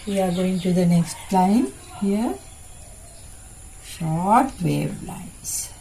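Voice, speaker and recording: A woman preschool teacher giving a simple demonstration lesson to children in short, halting, repetitive phrases. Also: fairly low pitch, expressive, gravelly, dark tone, slight background noise, rough recording.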